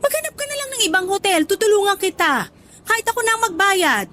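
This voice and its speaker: A woman playing a doting, gently scolding middle-aged mother in a radio drama, emphatic and motherly. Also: extremely high pitch, big pitch swings, fast talker, full character voice. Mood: reluctant. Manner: motherly, emphatic, fond exasperation. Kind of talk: Tagalog radio drama acting.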